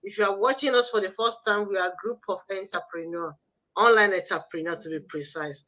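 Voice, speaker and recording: Emphatic Nigerian woman, preacher-like pitch. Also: a little fast, a bit bright, low-quality recording. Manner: emphatic, repetitive, preacher-like exhortation. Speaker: host of an online business promotion livestream. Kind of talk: motivational pitch to viewers.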